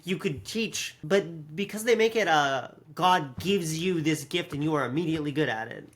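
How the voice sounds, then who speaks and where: A podcast co-host in loose, conversational review mode. The delivery is animated and unfiltered, with some swearing and mock-quoting, at a middling pitch. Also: normal speed. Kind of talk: casual review chat.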